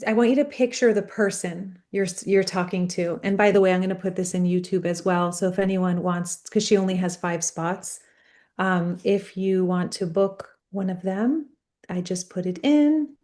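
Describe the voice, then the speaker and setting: A woman's voice: on the low side, moderate pace, a little mellow. A woman coach hosting a live online workshop on a video call, speaking earnestly and encouraging her guest.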